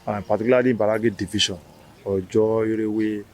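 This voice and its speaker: A man's voice: on the low side, steady tone, a little fast, darkish tone. A man with a Nigerian accent, a festival founder giving an interview answer, with many fillers and restarts.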